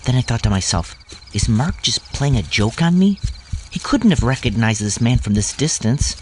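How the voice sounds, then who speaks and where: A man's voice: medium pitch, big pitch swings, normal speed, a little hiss or hum, lightly acted. A man playing a grown-up narrator who recalls a scary boyhood adventure in earnest, dramatic first-person storytelling. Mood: reflective, suspenseful.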